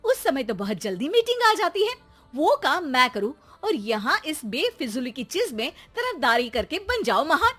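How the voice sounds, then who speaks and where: A woman playing an exasperated, sharp-tongued Indian mother who scolds and nags with theatrical sarcasm in a comic audio drama. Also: sits high, very animated, normal speed, soft background music, voice pushed up, heavily acted.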